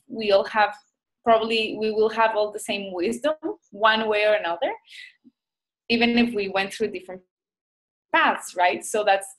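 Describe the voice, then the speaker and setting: A woman's voice: a little high, rough recording. A woman from Rica, a doctor and guest, talking reflectively and searching for words with many restarts. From Costa Rica. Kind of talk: reflective conversation.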